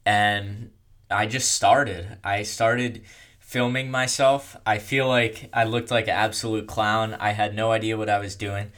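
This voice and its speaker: A young man telling his own story in a casual, rambling way, with a monotone delivery and lots of fillers. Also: fairly low pitch, measured pace. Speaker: content creator.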